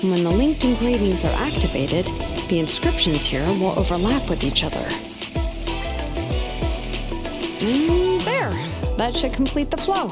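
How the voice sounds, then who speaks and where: A woman's voice: on the high side, very animated, moderate pace, over loud music. A woman playing a laid-back, confident young craftswoman in a video game, speaking in a casual, matter-of-fact way. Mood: focused. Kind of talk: video game character acting.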